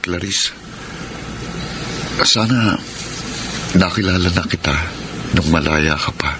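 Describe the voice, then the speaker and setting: A man voice actor playing a kind tricycle driver who gently confesses his love, in a radio drama with music under him. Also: deep voice, slow, noisy background. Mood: confessing. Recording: loud music bed under the voice.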